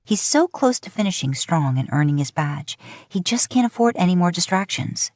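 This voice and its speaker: Low-pitched woman narrating romantic fiction. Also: very animated, moderate pace, darkish tone, no room sound, studio quality.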